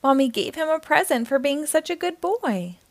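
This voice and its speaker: A woman hosting a storytime, reading a children's picture book aloud in an expressive, theatrical way. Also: high voice, moderate pace, no room sound. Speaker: storytime host and reader.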